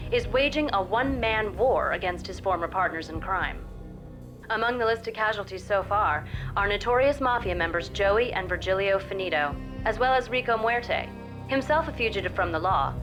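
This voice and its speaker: An actress playing a TV news anchor in an even, formal newsreader delivery. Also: female voice, mid-range voice, natural voice. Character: crisp female TV news anchor. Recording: game audio with music.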